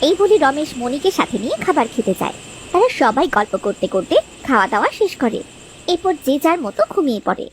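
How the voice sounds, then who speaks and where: A woman's voice: high voice, very animated, fast. A woman narrator delivering scripted story narration for a cartoon. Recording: noisy track with ambience behind.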